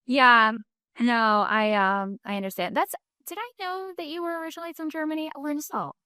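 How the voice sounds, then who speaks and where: A woman's voice: high-pitched, steady tone, normal speed, sharp tone, smooth, dry recording, clean studio sound. A woman hosting a podcast interview, asking curious, friendly follow-up questions in a casual way.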